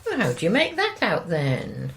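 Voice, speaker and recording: An actress playing an anxious, gossipy middle-aged wife in an audio drama, with a fretful manner. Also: female voice, fairly low pitch, huge pitch swings, normal speed, gravelly, full character voice. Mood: intrigued. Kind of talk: naturalistic audio drama dialogue.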